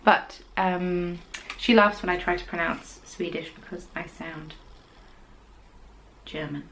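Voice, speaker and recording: A woman's voice: lowish voice, fairly even intonation, takes it slowly, a little hiss or hum, rough recording. Chatty woman hosting a podcast. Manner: chatty and rambling, with quiet whispered asides. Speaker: podcast host. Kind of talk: casual chat about knitting.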